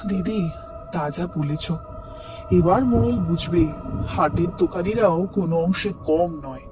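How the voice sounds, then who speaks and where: A woman's voice: lowish voice, unhurried, natural voice. A woman playing a fearful village woman in colloquial, emphatic cartoon dialogue. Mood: agreeing. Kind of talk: animated story dialogue. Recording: music behind the voice.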